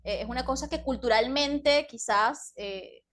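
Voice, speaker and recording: High-voiced Latin American woman host. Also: measured pace, fairly bright tone. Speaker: podcast host. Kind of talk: casual interview chat.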